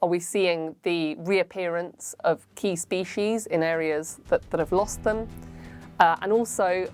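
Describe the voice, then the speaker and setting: A woman giving clear, structured interview remarks as a conference expert. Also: on the low side, steady tone, unhurried, darkish tone, soft background music.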